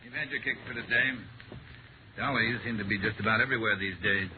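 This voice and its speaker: Curt police lieutenant played by an actor, tinny radio. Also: male voice, lowish voice, fast, lightly acted. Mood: curt, businesslike. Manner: curt, commanding. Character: brisk, businesslike male police lieutenant. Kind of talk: 1950s radio drama acting. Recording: tinny, noisy old radio recording.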